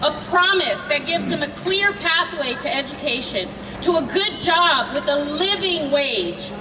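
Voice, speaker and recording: A middle-aged woman from Honduras giving a slow, emphatic rally speech to introduce the main speaker. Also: high voice, expressive, noisy background. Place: outdoor rally before a large crowd. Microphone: PA microphone recorded from afar. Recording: distant echoey PA over loud crowd.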